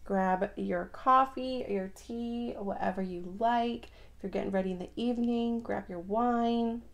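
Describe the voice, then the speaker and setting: A young woman chatting casually through a makeup routine, her voice raspy from just waking up, rambling with lots of fillers. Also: fairly high pitch, steady tone, measured pace. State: raspy morning voice. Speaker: beauty vlogger. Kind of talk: casual get-ready-with-me chat.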